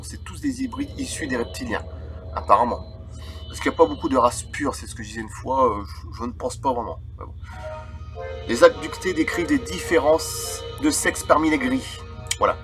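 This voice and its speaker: A man's voice: mid-range voice, fairly bright tone. A man, reading from a book aloud and adding casual, rambling asides as the host of a video channel. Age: 30s. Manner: informal, rambling, digressive asides. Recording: background music under the voice. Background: eerie film music.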